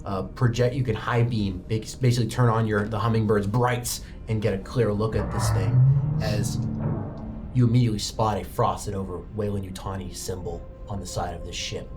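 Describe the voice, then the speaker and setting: A man's voice: lowish voice, steady tone, normal speed. A man working as game master, narrating a tabletop roleplaying game in a dramatic, immersive way. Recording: music bed under the voice. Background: background music.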